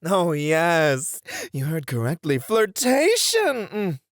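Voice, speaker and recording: A man voicing a poised, courteous and patient etiquette teacher in an ASMR roleplay, speaking in a measured, formal, theatrical manner. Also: on the high side, wildly swooping pitch, no echo, clean studio sound, full character voice. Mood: eager, instructive.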